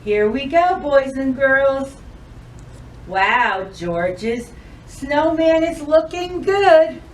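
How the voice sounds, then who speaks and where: A woman hosting a children's storytime, reading aloud and addressing young kids in an expressive, sing-song, deliberate way. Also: high-pitched, far from the mic, slight background noise, low-quality recording. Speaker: library storytime host.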